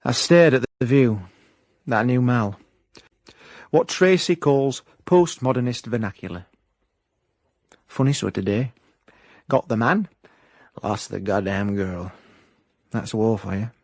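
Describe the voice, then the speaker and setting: An actor playing a wry ex-miner private eye in a radio comedy, with a South Yorkshire accent and deadpan, mock hard-boiled delivery. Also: male voice, medium pitch, slow pace, pauses often, dry recording, studio quality. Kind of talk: comic radio drama acting.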